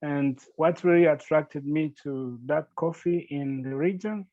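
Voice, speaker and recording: A man's voice: a little high, dry recording. Earnest man on a lo-fi call. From Rwanda. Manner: earnest, grateful, with halting restarts. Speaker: guest. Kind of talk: podcast interview.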